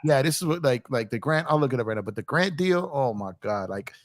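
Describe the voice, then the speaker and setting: An American man hosts a casual sports stream, with a hint of New York in his speech. He talks in slangy, animated, repetitive runs. The sound is very dry, with no room to it. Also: medium pitch, fairly quick, dry recording. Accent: American with a touch of New York. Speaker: sports talk show host. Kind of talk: casual sports chat on a livestream.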